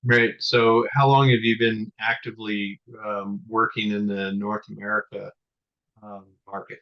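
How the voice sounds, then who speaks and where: A man's voice: on the low side, little pitch movement, unhurried. A middle-aged man hosting an interview, asking long, winding questions with plenty of fillers. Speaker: podcast host. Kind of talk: conversational interview. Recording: dull lo-fi sound.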